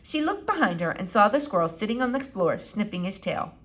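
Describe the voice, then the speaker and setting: A woman narrating a children's novel as an expressive read-aloud. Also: medium pitch, brisk. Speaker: storybook reader.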